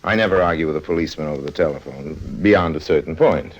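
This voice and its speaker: A man's voice: rumbling low, quick pace, noisy background. A man acting a suave, roguish con artist in an old-time radio drama, wry and nonchalant. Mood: wry narration. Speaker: lead actor and narrator. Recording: dull old radio recording.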